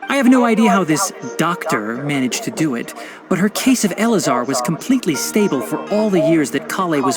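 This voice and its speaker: A calm, learned young forest ranger explains plants in a patient, precise tone, as a man acts him out. His voice sits a bit high and moves lively through its range. Loud game soundtrack music fills the background. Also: close to an everyday voice. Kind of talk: video game voice acting.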